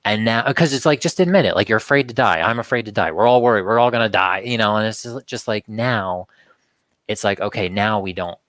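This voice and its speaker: Male talk show guest, low register, dry sound, halting conversational delivery with repeats. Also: expressive, fairly bright tone, no room sound. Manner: halting, repeats words and restarts sentences. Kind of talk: casual rambling chat.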